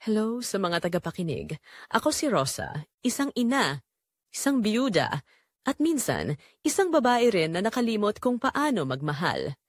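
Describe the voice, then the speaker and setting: Woman acting as a lonely widowed mom narrating her audio drama, wistful and yearning, very expressive pitch, close dry sound. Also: moderate pace, no room sound. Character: lonely middle-aged widowed mother, wistful and secretly yearning. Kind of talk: first-person dramatic audio story narration.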